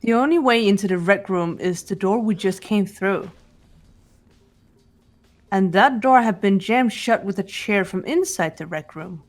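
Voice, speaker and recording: A woman plays a composed teenage girl detective from a mystery visual novel. She lays things out precisely and deliberately, in a mid-pitched analytical voice over game music. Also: close to an everyday voice. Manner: deliberate, precise, lecturing delivery.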